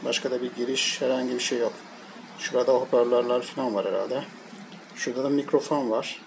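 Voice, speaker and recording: A man giving a casual, unscripted hands-on product commentary, explaining piece by piece in a halting way. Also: mid-range voice, fairly even intonation. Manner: halting, piecemeal explaining while handling items. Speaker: product reviewer. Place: indoors at a table, hands-on tabletop shoot. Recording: noisy amateur home recording. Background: clear background noise.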